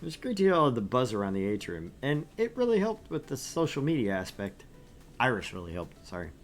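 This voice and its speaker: A man hosting a let's play, reading a visual novel aloud with lively, animated delivery and joking asides. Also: mid-range voice, fairly quick.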